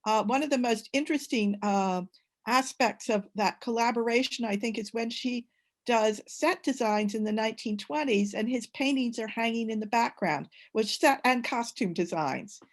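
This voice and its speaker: A woman on an academic panel, talking in a measured, hesitant way with many filler sounds over a video call. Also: on the high side.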